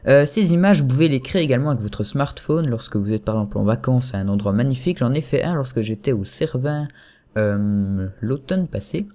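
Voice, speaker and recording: A young man giving a casual screen-recorded software tutorial at his computer, chatty and hesitant, full of fillers and self-corrections. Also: mid-range voice, lively intonation, fairly quick.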